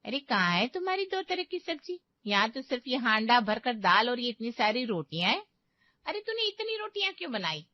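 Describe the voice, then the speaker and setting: A woman's voice: a little high, sing-song, a little fast, no room sound, dull audio. A woman voicing an easily offended middle-aged mother-in-law in a cartoon story, taunting and melodramatic. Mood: indignant. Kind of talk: cartoon moral story acting.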